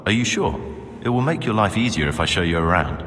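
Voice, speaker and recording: A man's voice: deep voice, animated, fairly quick, a bit bright. An actor playing a bookish, prim novice monk in a game, articulate and slightly superior in his matter-of-fact talk. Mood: slightly miffed. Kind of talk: video game dialogue. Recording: reverberant hall with music behind.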